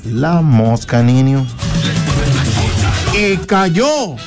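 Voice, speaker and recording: A man's voice: on the high side, sing-song, extremely slow, over loud music. A man co-hosting a morning radio show, joking and calling out the vote count. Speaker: radio co-host.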